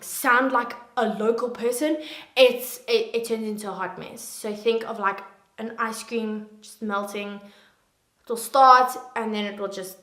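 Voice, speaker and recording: A woman's voice: a little high, a bit bright, roomy sound. Chatty self-mocking young woman vlogger. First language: English. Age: 20s. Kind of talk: casual chat to camera.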